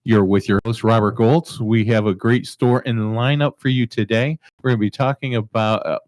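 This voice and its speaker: A man's voice: mid-range voice, steady tone, moderate pace, a little mellow, no echo. A man hosting an interview show, rambling a little with fillers as he introduces his guest. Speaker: host.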